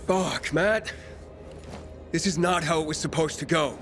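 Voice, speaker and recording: A man's voice: fairly high pitch, unhurried, fairly bright tone, faint music behind. An actor playing an impatient, weary young male survivor in a video game, blunt and sardonic. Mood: frustrated, angry. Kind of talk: cinematic game dialogue.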